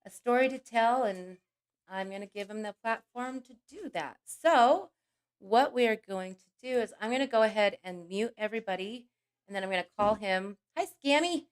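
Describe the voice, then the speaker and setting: A woman hosting a livestream with a scratchy, hoarse voice from a cold, chatting casually and greeting each viewer by name. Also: fairly high pitch, moderate pace. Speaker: livestream host.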